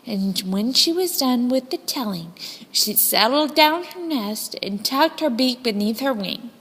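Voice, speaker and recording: A woman reading a children's story as scripted narration, with expressive, deliberate phrasing. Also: a little high, husky. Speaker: storybook reader. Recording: long hall-like reverb.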